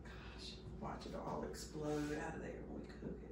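A middle-aged woman casually talking through a cooking demonstration in her home kitchen, unhurried and unsure of herself in little asides. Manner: unhurried, self-doubting asides, lively pitch. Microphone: far camera. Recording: echoey kitchen, camera far off, background noise.

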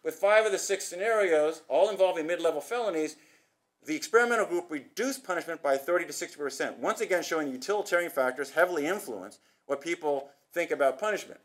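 A middle-aged man giving an academic conference talk at a podium, brisk and gesturing, posing rhetorical questions. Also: fairly high pitch, fairly bright tone. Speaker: conference speaker. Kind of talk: academic presentation. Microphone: podium microphone.

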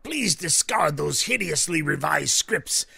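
A man's voice: on the high side, lively intonation, a little slow, vocal fry, sharp tone, husky, no room sound, exaggerated voice. A man playing an exasperated, pompous theater director in a comedy audio drama, theatrical and grandly indignant.

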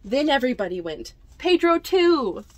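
A woman's voice: sits high, moderate pace, no room sound, dull audio. A woman in her twenties reading a picture book aloud to children, expressively, with chatty asides. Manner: expressive, addresses young listeners directly. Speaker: library storytime reader. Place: indoors among library bookshelves.

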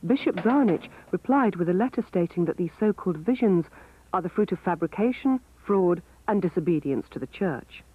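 Documentary narrator over a thin, phone-like line. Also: male voice, high voice, expressive, a little fast. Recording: phone-like band, faint hiss.

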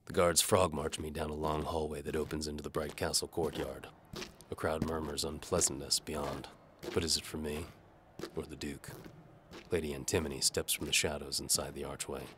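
A man's voice: very deep voice, little pitch movement, moderate pace, a bit bright, slight background noise. A man reading a first-person hardboiled detective story in a wry, world-weary manner. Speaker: audiobook narrator.

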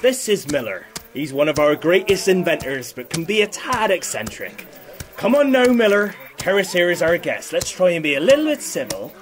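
An actor playing a genial but sly rustic villager in an audio drama, folksy and theatrical. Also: male voice, high voice, big pitch swings, moderate pace. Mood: placating.

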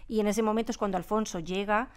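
A middle-aged woman with a Castilian Spanish accent and a smooth, clear voice of medium pitch. She explains things conversationally, with halting phrasing, as a studio guest. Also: fairly even intonation. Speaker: radio drama sound designer, interview guest. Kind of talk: conversational interview answers. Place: radio studio.

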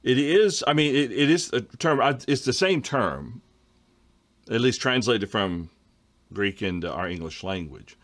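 A middle-aged man hosting a casual Bible study discussion over a video call, reading viewer questions and often clearing his throat. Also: medium pitch, expressive, unhurried, fairly bright tone. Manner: conversational, frequent throat clearing. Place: home study with bookshelves.

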